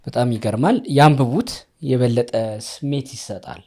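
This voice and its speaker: A man's voice: mid-range voice, animated, racing, a little mellow. A man with an Ethiopian accent, taking part in a casual podcast conversation.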